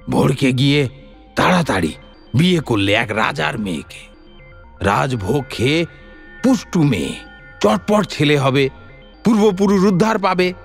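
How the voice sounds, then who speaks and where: A man's voice: medium pitch, vocal fry, slightly rough, exaggerated voice. A man playing an earnest, brooding rural bandit who tells stories and asks indignant rhetorical questions in an audio drama. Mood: wry storytelling. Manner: theatrical, rhetorical questions, addresses a listener as babu. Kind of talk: dramatic Bengali short-story monologue.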